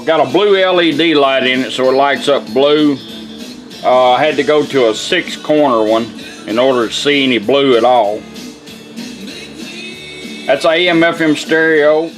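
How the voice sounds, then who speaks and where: A plainspoken, folksy man giving a casual product demonstration as a radio restorer. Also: medium pitch. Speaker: radio restorer presenting his work.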